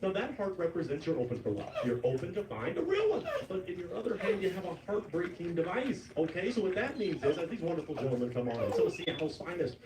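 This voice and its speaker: A man hosting a dating show in a lively, playful way. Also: fast talker, few pauses. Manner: animated, playful, big pitch swings. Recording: roomy, distant mic with noise.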